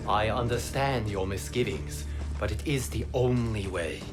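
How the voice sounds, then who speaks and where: A man's voice: fairly low pitch, unhurried, over loud music, lightly acted. A man playing an earnest, humble keeper of time in a solemn video game drama. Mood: solemn, resolute.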